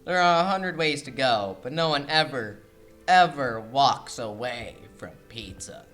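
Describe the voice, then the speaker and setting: A middle-aged mobster who runs a pizza parlor, acted by a young man, with a bright, forceful voice. He is whiny, self-pitying and melodramatic, blustering in comic radio-play style. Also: medium pitch, lively intonation, measured pace, faint music behind. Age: young adult. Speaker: actor in a student audio play.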